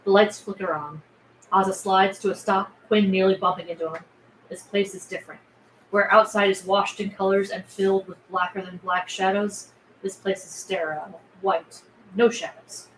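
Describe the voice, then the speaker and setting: A woman narrating the scene directions of a film script at a table read. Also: mid-range voice. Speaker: stage-direction reader. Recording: roomy echo with clear background noise.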